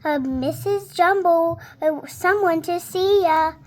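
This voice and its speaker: A girl of about seven reading a storybook aloud in a careful, halting, word-by-word way. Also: very high voice. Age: child, about seven. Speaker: young reader.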